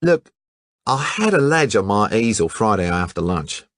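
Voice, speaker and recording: A man doing a loud, brash Aussie lad. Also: lowish voice, very animated, normal speed, a little hiss or hum, pitched above the performer's own voice. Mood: eager. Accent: Australian. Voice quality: broad colloquial slang. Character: brash young male Australian art student.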